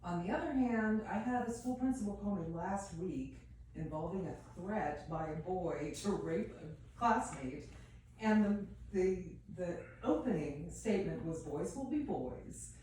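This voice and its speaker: A middle-aged woman asks an earnest question from the audience, far from the microphone in a heavily echoing space. Her voice is on the low, soft-toned side, and she picks her words in a measured way. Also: lively intonation. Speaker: audience member asking a question. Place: audience at a live event, away from the mic. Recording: very echoey, distant mic, some noise.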